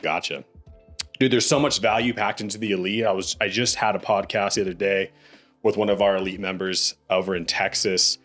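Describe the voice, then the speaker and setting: A young man hosts a podcast in a relaxed, upbeat way, tossing around "dude" and "bro" as he chats. His voice sits low, and faint music plays behind him. He speaks into a studio podcast mic. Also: fairly quick. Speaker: podcast host. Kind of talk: casual interview chat.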